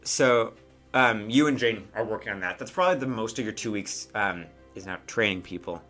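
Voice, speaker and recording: A man's voice: lowish voice, brisk, a bit bright, soft background music. A man working as game master, narrating a tabletop game in casual, improvised chat with asides and hesitations.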